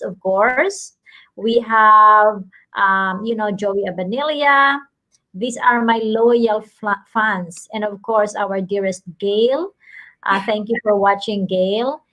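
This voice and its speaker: A chatty Filipina woman with a Filipino accent hosts a casual online talk show. Her voice sits a little high. She gushes and piles on warm greetings. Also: poor audio. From Philippines. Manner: effusive, chatty, gushing greetings.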